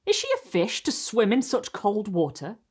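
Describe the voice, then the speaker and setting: A woman's voice: mid-range voice, huge pitch swings, normal speed. A woman voicing a wistful, desirous aristocratic count in a Gothic storybook reading, with a solemn, theatrical manner. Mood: indulgent.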